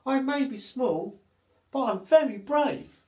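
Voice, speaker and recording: Man voicing a high-pitched plucky little girl monkey. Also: animated, slow pace, darkish tone, roomy sound, slight background noise, heavily acted. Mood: proud. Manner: exclaiming, emphatic. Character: small brave young female monkey, plucky and determined.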